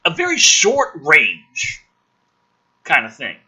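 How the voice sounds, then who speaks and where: A man's voice: on the high side, huge pitch swings, slow, sharp tone, husky. A man reading a script aloud theatrically, with sudden emphasis and sarcastic, exasperated, profane commentary. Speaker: host reading and mocking a film script.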